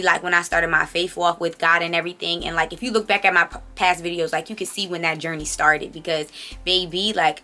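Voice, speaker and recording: A woman in her late twenties speaks in a bright, slightly low voice with a touch of congestion. She chats casually as if to her channel's followers, emphatic and full of slangy asides. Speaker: vlogger hosting own channel. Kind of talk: casual confessional chat to camera.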